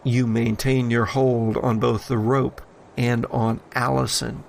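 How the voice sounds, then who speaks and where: Slow, gravelly-edged male game master. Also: medium pitch, flat delivery, slight background noise. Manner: deliberate, dramatic pausing when narrating. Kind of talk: tabletop horror game narration.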